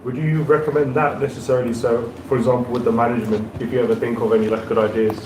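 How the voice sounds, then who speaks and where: A man's voice: medium pitch, level tone, fast. A man in the audience politely asking a question at a class, hesitating and searching for his words. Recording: roomy, noisy hall.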